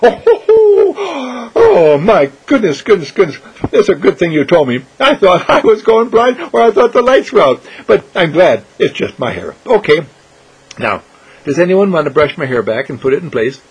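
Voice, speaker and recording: A man voicing a giddy, cheerful female hospital clown in a high, exaggerated, giggly sing-song. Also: big pitch swings, normal speed, slightly rough, low-quality recording.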